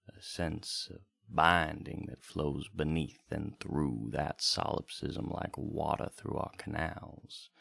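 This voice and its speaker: A man playing a wandering bard who hosts a radio hour, telling stories in a measured, literary and reflective manner. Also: a bass voice, vocal fry, dry recording, exaggerated voice. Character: male wandering bard reflecting on his community. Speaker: bardic radio host. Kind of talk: in-character storytelling for a radio drama.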